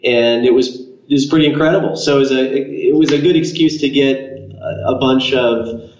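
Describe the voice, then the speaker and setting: A man's voice: mid-range voice, fairly even intonation, moderate pace, a little mellow. Hesitant male guest on a roomy line. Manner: hesitant, many uh and um. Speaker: guest, company co-founder. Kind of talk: casual interview chat. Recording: echoey, dull remote line.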